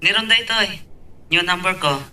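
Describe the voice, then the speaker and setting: A man's voice: sits high, fairly quick, bright, forceful tone. A man playing a friendly young suitor in an audio love drama, casual and familiar, with an Ilocano accent. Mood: friendly, teasing. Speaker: voice actor. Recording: distant, noisy with ambience.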